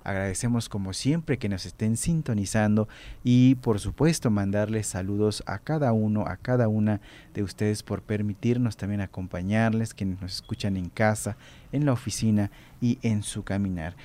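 A man's voice: lowish voice, moderate pace, warm tone. A man hosting a community radio interview, greeting listeners and asking his guest questions. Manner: greets listeners formally, affirms with short murmurs. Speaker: radio host.

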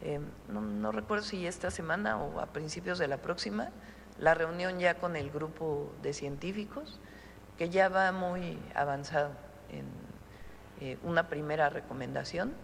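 A Mexican woman holding a press conference, answering questions in a measured, deliberate way with frequent pauses. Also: fairly low pitch, gravelly, in a large hall, slight background noise, rough recording.

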